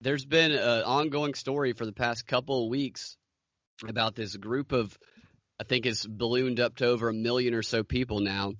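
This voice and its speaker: Bright-voiced man chatting on a podcast. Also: medium pitch, steady tone, normal speed, no room sound, highs cut off. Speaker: podcast co-host. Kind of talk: casual news discussion.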